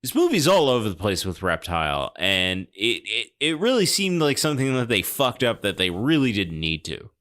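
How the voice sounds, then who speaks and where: A man hosting a podcast, discussing film casually in a halting, deliberate way with dry understatement. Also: on the low side, sing-song, fairly bright tone. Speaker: podcast host.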